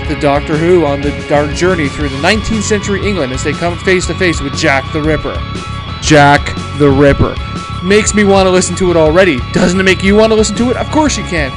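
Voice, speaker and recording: Sarcastic, higher-voiced man hosting a podcast. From Canada. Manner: sarcastic, profane, emphatic. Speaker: podcast host. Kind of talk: casual pop culture news commentary.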